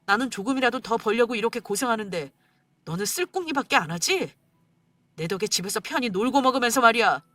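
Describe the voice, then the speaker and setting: A woman voicing an angry, stingy husband who shouts and sneers at his wife. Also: high-pitched, big pitch swings, moderate pace, dry recording, pitched above the performer's own voice, exaggerated voice. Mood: furious. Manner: shouting, scornful and bitter.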